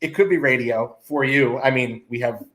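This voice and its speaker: A man's voice: medium pitch, normal speed, a bit bright. A man co-hosting a casual sports talk show, giving blunt opinions in short, punchy phrases.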